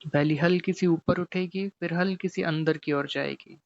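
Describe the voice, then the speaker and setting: A man teaching guided meditation, giving gently repetitive, step-by-step instructions. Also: a little high, steady tone, normal speed, vocal fry, no room sound, highs cut off.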